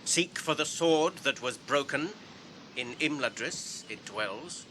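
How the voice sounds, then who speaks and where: Casting: earnest, dignified English halfling traveller, bright forthright voice, formal radio-drama diction, faint noise behind. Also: male voice, medium pitch, lively intonation. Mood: guarded, formal. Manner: measured, articulate, formal. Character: earnest, courteous hobbit traveller, guarded and dignified. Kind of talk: BBC radio drama acting.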